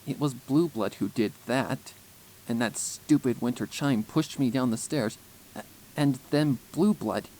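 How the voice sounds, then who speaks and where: A man voicing a sullen, shaken young female pony in a storybook narration, speaking quietly and hesitantly, with guarded mutters. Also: medium pitch, unhurried, a little hiss or hum, lightly acted, poor audio. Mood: flustered.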